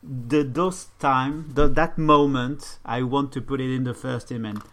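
Man, French accent, native French speaker, medium pitch, halting casual podcast chat, streamer guest. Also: fairly even intonation, a bit bright. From France.

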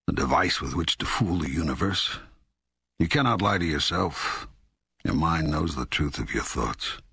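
An actor reading God in an audiobook dialogue as a calm, authoritative teacher, with measured, solemn delivery and deliberate emphasis. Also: male voice, very deep voice, husky, no echo, studio quality, heavily acted. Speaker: audiobook co-narrator.

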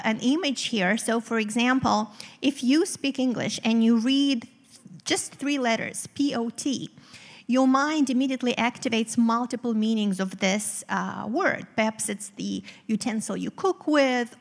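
A woman's voice: a little high, vocal fry, big-room sound. A woman from Eastern Europe whose first language is Romanian, explaining research articulately as a guest in a live stage interview. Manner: articulate, explanatory, occasional fillers. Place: theater stage before a live audience.

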